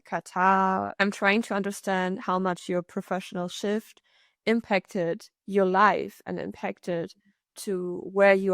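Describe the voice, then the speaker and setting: Clear-voiced woman host with creaky edge. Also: mid-range voice, no echo, clean studio sound. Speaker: podcast host, interviewer. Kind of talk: conversational interviewing with guest introduction.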